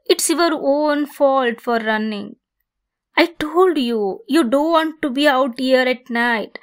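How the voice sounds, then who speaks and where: Woman voicing a female centaur, possessive, bossy but caring, fairly high voice, very unhurried, teasing, ASMR roleplay. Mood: stern, impatient.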